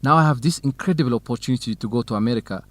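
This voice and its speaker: A man's voice: mid-range voice, very fast, no echo, natural voice. An actor playing a dutiful, determined young man from Ethiopia in a radio drama for English learners. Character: young Ethiopian farm son, dutiful, determined, quietly lovestruck.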